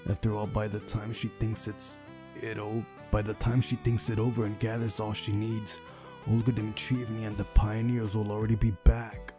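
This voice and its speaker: A man's voice: lowish voice, completely flat delivery, moderate pace. A man reading a visual novel's first-person story aloud as the narrator of a playthrough. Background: music.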